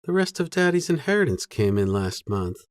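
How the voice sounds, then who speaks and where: A man voicing a caring, plain-spoken prairie woman in an audiobook, gentle and matter-of-fact. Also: medium pitch, sing-song, brisk, no echo, pitched above the performer's own voice, close to an everyday voice. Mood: calm, caring. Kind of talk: audiobook character dialogue.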